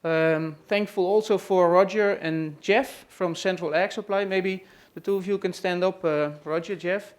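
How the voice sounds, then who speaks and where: A man with a Dutch accent speaks to an audience at an expo, pitched higher than most men. He talks with hesitant pauses and frequent fillers as he introduces his guests. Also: fairly even intonation. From Netherlands. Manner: hesitant, many "uh" and "let's say" fillers. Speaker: presenter hosting a farm tour session. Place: room at a trade expo.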